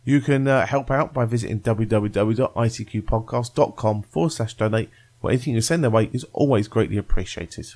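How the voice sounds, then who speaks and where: A man's voice: lowish voice, fairly quick, hardly any pauses, a little mellow. A man reading a scripted introduction as a podcast presenter. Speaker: podcast host and news presenter.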